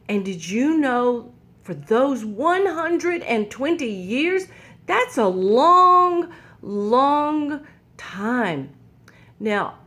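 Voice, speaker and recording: A woman hosting a children's story time, reading a Bible story aloud in a gentle, sing-song way. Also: a little high.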